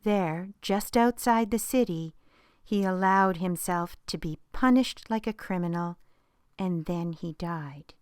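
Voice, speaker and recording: A woman reading a Bible story aloud for children, telling it expressively and dropping to a hushed whisper at dramatic moments. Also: fairly low pitch, takes it slowly, clean tone, dry recording, studio quality.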